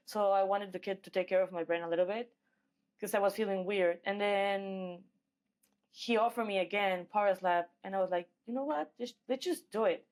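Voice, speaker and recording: A woman's voice: medium pitch, normal speed. A woman from Mexico with a Mexican accent, talking casually as an athlete being interviewed. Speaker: guest, professional MMA fighter.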